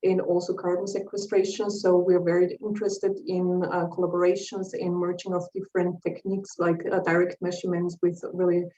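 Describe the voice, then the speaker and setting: A woman's voice: fairly low pitch, little pitch movement, brisk, hardly any pauses, mellow. A woman with an Austrian accent, a soil scientist, introducing herself carefully and steadily on a video call. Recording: roomy, distant, muffled call audio.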